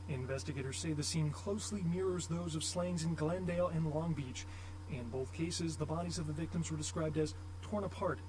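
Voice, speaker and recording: A man playing a television news anchor in a video game, reading headlines in a formal, measured way. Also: a little high, darkish tone, slight background noise, natural voice, rough recording. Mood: formal, serious. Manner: formal, measured newsreader delivery. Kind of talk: scripted TV news report.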